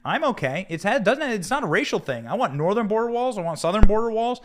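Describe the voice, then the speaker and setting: An American man hosting a live political commentary show, speaking emphatically and dramatically, with rhetorical questions and stressed, drawn-out words. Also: high voice, big pitch swings. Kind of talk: live political commentary with news read aloud.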